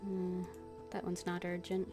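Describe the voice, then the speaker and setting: A woman's voice: fairly low pitch, completely flat delivery, unhurried, music in the background. A woman playing a game on a livestream, casually murmuring to herself as she thinks aloud and reads. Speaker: game streamer. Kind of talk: casual game livestream, voicing her character's lines.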